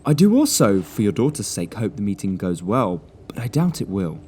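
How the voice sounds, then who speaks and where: Dude doing a cocky young detective, calm and smug, bouncy delivery, kinda dark tone. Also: male voice, fairly low pitch, moderate pace, a little hiss or hum. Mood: knowing. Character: clever young male sleuth, calm and smug.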